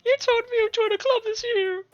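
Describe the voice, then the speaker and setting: A woman voicing a carefree, clingy anime schoolgirl from a visual novel in a squeaky, whiny falsetto. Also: steady tone, fairly quick, dry recording, dull audio. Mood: worried, pleading. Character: carefree bubbly anime schoolgirl, worried, clingy childhood friend.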